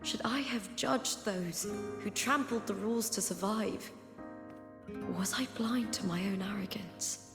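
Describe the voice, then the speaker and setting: Slow-spoken, solemn young champion. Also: female voice, mid-range voice, gravelly. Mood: pained, confessional. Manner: solemn, reflective, measured. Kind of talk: dramatic video game voice acting. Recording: echoey long reverb under music.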